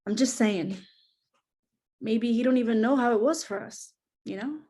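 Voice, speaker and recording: A woman's voice: fairly high pitch, normal speed, mellow, natural voice. A woman playing a caring, persistent, teasing sister in a scripted drama table read, casual and coaxing. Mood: concerned, coaxing.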